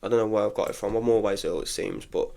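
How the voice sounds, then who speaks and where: A man's voice: fairly low pitch, fairly even intonation, fast, gravelly, darkish tone. A congested young man giving frank, chatty opinions in a casual solo football review, full of restarts. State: congested from illness. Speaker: football podcaster.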